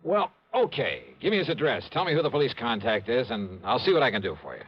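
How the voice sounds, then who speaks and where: A man's voice: medium pitch, very animated, fast, slightly rough, soft background music. An American man playing a wry, hard-boiled insurance investigator in an old radio detective drama, talking in a dry, clipped, colloquial way. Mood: reluctant. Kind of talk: old-time radio detective acting and first-person narration. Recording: muffled old radio recording.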